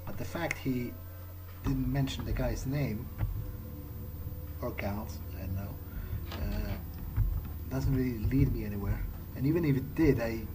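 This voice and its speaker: A man's voice: medium pitch, lively intonation, unhurried, noisy background. A man doing in-character let's play commentary on a video game, hesitant and thinking aloud. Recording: game music clearly behind voice. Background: video game soundtrack.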